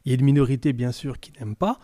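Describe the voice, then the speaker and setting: A young man speaks casually as a podcast guest. His voice is mellow and mid-pitched, recorded dry and close to the mic. His talk is informal and slangy, full of small repeats. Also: fairly even intonation, quick pace, no room sound. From France. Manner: informal, slangy, repeats words as he searches for them. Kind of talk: casual interview chat.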